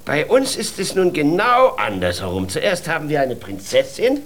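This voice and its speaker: A man's voice: on the high side, wildly swooping pitch, normal speed, fairly bright tone, a little hiss or hum. A man playing a bemused, sceptical father who muses and mutters his way through a children's radio play. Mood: musing, busy. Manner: sceptical, musing, sometimes muttering. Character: bemused, pondering new father and poor would-be king.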